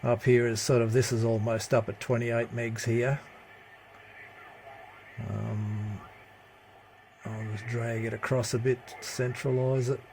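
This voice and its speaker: A man giving a casual, hands-on CB radio hobby demonstration in a halting, matter-of-fact way, recorded on a handheld phone. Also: mid-range voice, level tone, a little mellow. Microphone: handheld phone camera. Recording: noticeable background noise, handheld phone recording.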